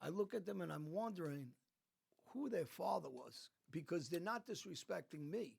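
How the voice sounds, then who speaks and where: American guy, gravelly, kinda high voice, emphatic storytelling, restarts a lot. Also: lively intonation, moderate pace, darkish tone. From United States. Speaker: podcast guest. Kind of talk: casual conversation.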